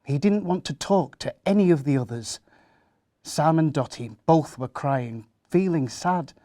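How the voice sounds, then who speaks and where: A man reading a rhyming children's story aloud in an expressive storytelling style. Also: fairly high pitch, measured pace, no echo, clean studio sound.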